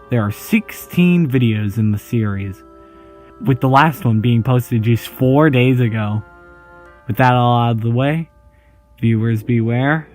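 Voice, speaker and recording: A man hosting his own online video channel, explaining horror videos in a relaxed, jokey way and reading on-screen text aloud. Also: medium pitch. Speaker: YouTube host. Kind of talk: casual video commentary.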